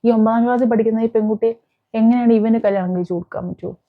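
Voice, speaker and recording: A woman's voice: on the high side, fairly even intonation, quick pace. A woman telling a true-crime story informally, in a conversational, explanatory way with English words mixed in. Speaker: host of a crime commentary channel. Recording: dull, muffled lo-fi sound.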